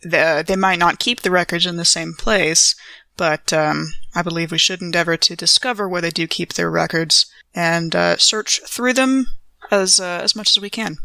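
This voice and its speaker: A woman's voice: fairly low pitch, fairly even intonation, normal speed, vocal fry, pushed and bright, no room sound. A woman playing in a tabletop role-playing podcast, speaking in character with formal, careful wording. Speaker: player in an actual play podcast.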